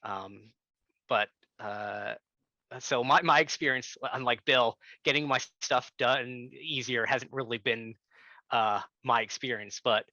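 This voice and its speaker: A man's voice: mid-range voice, sharp tone, no room sound. Earnest man on a dull, dry call. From Canada. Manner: earnest, halting, many fillers and restarts. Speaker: tenant organizer. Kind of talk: online workshop talk. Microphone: computer mic on a video call. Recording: dull, slightly lo-fi video call audio.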